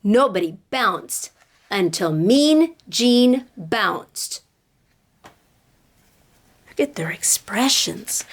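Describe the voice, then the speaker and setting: A woman's voice: mid-range voice, animated, very slow, pauses often. A woman reading a picture book aloud to children like a teacher, speaking to them directly with dramatic emphasis. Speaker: read-aloud storyteller, teacher-like.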